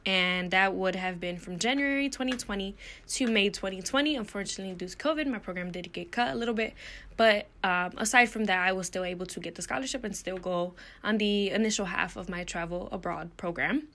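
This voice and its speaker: A woman's voice: medium pitch, fairly quick, a bit bright. A young woman from Puerto Rico giving a recorded slide presentation as a student presenter, earnest and explanatory, with frequent ums and uhs. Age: young adult.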